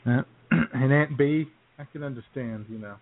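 A man's voice: medium pitch, normal speed. Jokey male radio co-host, muffled sound. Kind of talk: casual comic chat. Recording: dull lo-fi radio audio.

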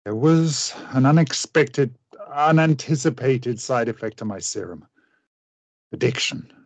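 An actor in a radio play playing a tormented, remorseful gentleman scientist with grave, formal, deliberate diction. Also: medium pitch, creaky, dry recording, lo-fi sound. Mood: anguished.

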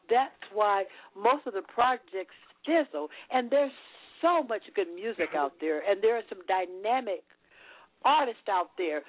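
A woman's voice: medium pitch, dry recording. A woman on a radio call-in speaks emphatically and at length, like she is giving a lecture. Her voice comes over a dry, tinny phone line. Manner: emphatic, lecturing, with repeated restarts. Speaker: marketing and promotions expert guest. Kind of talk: explanatory advice on talk radio.

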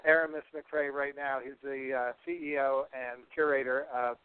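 A man with a clear, smooth voice hosts a podcast in an effusive, conversational style. The sound is dry and thin, like a phone line. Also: medium pitch, flat delivery, normal speed, no echo. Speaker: podcast host. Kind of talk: conversational hosting.

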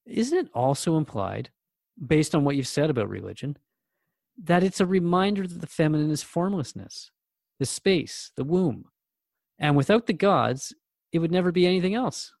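A man's voice: on the high side, expressive, moderate pace, creaky, darkish tone, no room sound, clean studio sound. A man as a radio host, asking questions and thinking aloud in scripted drama dialogue.

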